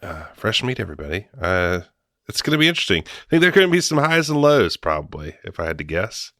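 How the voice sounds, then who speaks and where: A man's voice: low-pitched, very animated, fast, a bit bright, dry recording, clean studio sound. A man hosting a podcast in a casual, chatty style. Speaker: podcast host.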